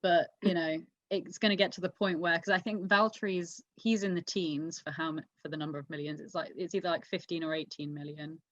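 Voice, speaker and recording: Woman, slightly low voice, casual panel chat, dry close sound, slightly muffled lo-fi mic. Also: steady tone, a little fast, hardly any pauses, no echo. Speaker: podcast panellist.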